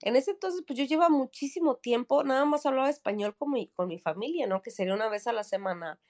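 A woman's voice: on the high side, fast talker, darkish tone. A woman in her thirties from Mexico City with a Mexico City Spanish accent, a podcast guest chatting casually with many fillers and tag questions. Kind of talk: casual chat.

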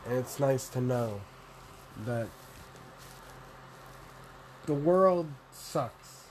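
A young man with a deep voice, rambling through a solo vlog, self-deprecating and swearing casually. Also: animated, extremely slow, darkish tone, slight background noise, poor audio. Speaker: podcaster and vlogger.

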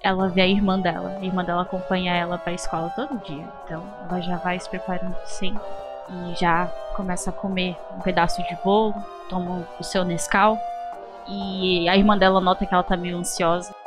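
A woman playing a tabletop game, gently narrating her child character's morning in the third person. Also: on the low side, level tone, a little fast, over music. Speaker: tabletop player.

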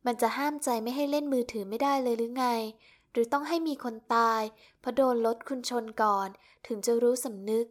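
An irritable young male doctor snaps clipped, cold reproaches in a storybook reading, as a woman narrator puts him on. The voice sits a little high and barely shifts from the reader's own. The tone is flat and scolding. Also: a little fast, close to an everyday voice.

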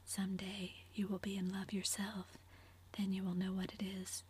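A woman's voice: medium pitch, monotone, moderate pace, a little mellow, a little hiss or hum, close to an everyday voice. A woman whispering the part of a young woman in love, eager and fond, in a storybook reading.

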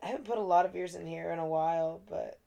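A woman's voice: lowish voice, steady tone, fast, vocal fry, warm tone, dull audio. A young woman co-hosting a casual podcast, laughing often and swearing freely. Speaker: podcast co-host. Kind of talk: casual chat.

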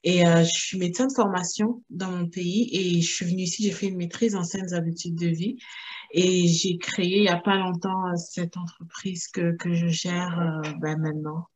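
A woman from Cameroon, a guest founder of a maternal wellness business, speaking casually in an interview and pausing often to restart her thoughts. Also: fairly low pitch, fairly even intonation, a little mellow, slightly rough. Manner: thoughtful, with frequent hesitations and restarts.

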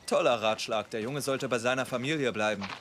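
A man's voice: mid-range voice, brisk, natural voice. Guy playing sceptical investigator, dubbed tv drama, dry n blunt, standard german, mid voice, noisy background. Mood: sardonic. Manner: dry, sardonic, probing.

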